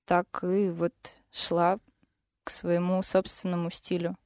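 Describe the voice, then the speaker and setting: A woman's voice: on the low side, completely flat delivery, slow, darkish tone, no room sound. A young woman, a singer, talking casually and a bit hesitantly as a studio guest about her musical path. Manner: hesitant, thoughtful, with fillers. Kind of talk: casual interview talk.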